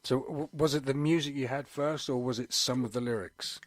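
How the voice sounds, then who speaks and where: A man's voice: medium pitch, steady tone, fairly quick, no echo. A man hosting a casual radio interview, effusive and often stumbling over the starts of his words. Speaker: radio host. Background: music playing underneath.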